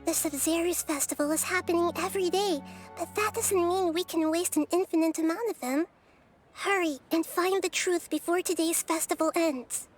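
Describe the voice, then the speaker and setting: High-voiced childlike wise goddess. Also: female voice, moderate pace, faint music behind. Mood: urging. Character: wise childlike young goddess, calm, cryptic guide. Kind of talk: video game character dialogue.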